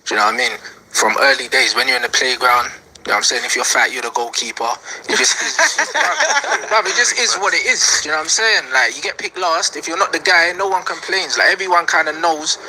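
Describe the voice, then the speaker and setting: A man's voice: on the high side, fast, slight background noise, low-quality recording. Slangy man on a podcast panel. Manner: slangy, animated, laughs between points. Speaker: panel guest.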